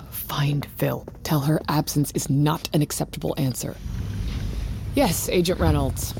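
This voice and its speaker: Guarded female company boss, very low and mellow, clipped, commanding, audio drama. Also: fairly even intonation, a little slow, vocal fry, a little hiss or hum. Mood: hushed, urgent. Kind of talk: naturalistic audio drama acting.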